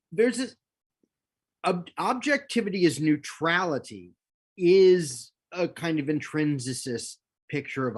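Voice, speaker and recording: A man co-hosting a discussion, thinking aloud in academic terms with frequent ums and restarts. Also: on the high side. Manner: hesitant, many ums and restarts, academic wording. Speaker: philosopher co-host. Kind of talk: casual discussion.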